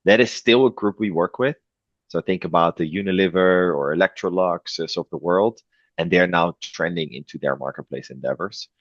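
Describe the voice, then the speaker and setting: A man from the Netherlands with a Dutch accent, talking as a podcast guest, relaxed with many fillers and restarts. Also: deep voice, no echo, dull audio. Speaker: guest, revenue executive. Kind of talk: podcast interview.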